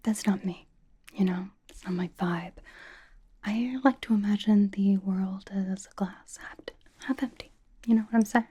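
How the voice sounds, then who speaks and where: A woman's voice: mid-range voice, steady tone, unhurried, dark tone, breathy edge. A woman playing a blunt, doting young pop idol in a whispered ASMR roleplay, with a casual, dry, slangy delivery.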